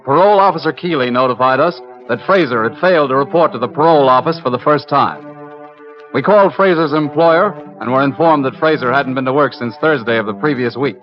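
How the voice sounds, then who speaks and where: Male lawman narrator played by an actor, mid-pitched, factual case-report delivery, vintage radio with music behind. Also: fairly quick, highs cut off, natural voice. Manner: matter-of-fact, procedural. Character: matter-of-fact male FBI agent narrating his case. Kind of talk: old-time radio crime drama narration.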